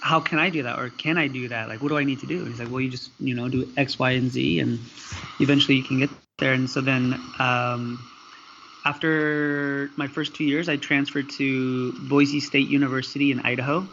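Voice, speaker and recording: A man from the US tells his story as an interview guest, his delivery flat and halting, with frequent ums. The audio is dull and lo-fi, with a faint hiss underneath. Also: mid-range voice, gravelly. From United States. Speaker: guest, student affairs professional. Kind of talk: casual interview answers.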